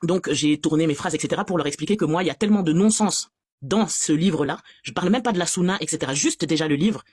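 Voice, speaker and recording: A woman from France giving an animated, exasperated and sarcastic personal testimony as a guest on a live stream. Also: low voice, fast talker, husky, no echo, clean studio sound.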